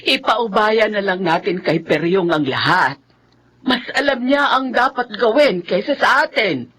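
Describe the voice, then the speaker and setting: A woman playing an anguished, devout grandmother in a horror radio drama, pleading in a tremulous, drawn-out way. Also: mid-range voice, animated, fairly bright tone, husky. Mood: worried.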